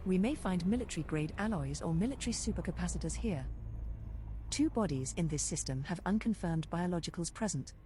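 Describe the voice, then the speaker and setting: A woman's voice: lowish voice, lively intonation, a little fast, warm tone, a little hiss or hum, close to an everyday voice. A woman voicing a precise, helpful spaceship computer copilot in a game, giving articulate status reports. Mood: precise, informative. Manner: articulate, informative. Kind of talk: scripted status announcements.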